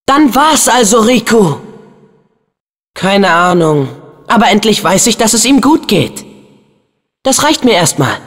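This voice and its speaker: An actor voicing an earnest, excitable teenage boy hero in a video game, with a youthful, expressive and eager delivery. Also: sits high, a little slow, pauses often, exaggerated voice. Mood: thoughtful, subdued. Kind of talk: video game character dubbing.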